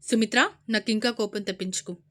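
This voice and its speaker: A woman voicing an angry, stern middle-aged father who shouts and scolds in a Telugu audio story. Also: medium pitch, fairly even intonation, a little fast, lightly acted.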